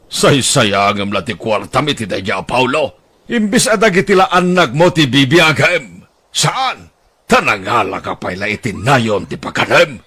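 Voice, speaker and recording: An actor doing a husky, scolding old father. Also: medium pitch, big pitch swings, measured pace, fairly bright tone, full character voice. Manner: scolding, sarcastic, blustering. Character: stern older father, scolding and mocking. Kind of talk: radio-style drama acting.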